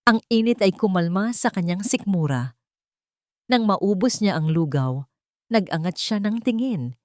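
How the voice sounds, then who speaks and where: A woman with a Filipino accent narrating a scripted romantic drama story in a dramatic, measured storytelling manner. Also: mid-range voice, a little mellow, no room sound, studio quality.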